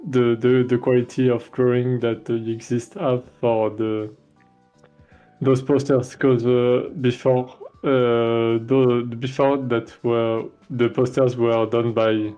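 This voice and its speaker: A man's voice: mid-range voice, mellow, smooth, highs cut off. A man with a French accent, a game developer chatting casually on a stream, hesitating often with many fillers and restarts. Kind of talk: casual dev stream chat.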